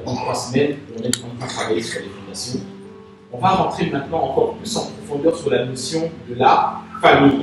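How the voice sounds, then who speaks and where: A man from Congo whose first language is Lingala preaches a sermon, swinging between loud and soft. His voice sits a little high for a man. The recording is very echoey and heard from far off in a large church hall, with faint noise behind. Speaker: pastor.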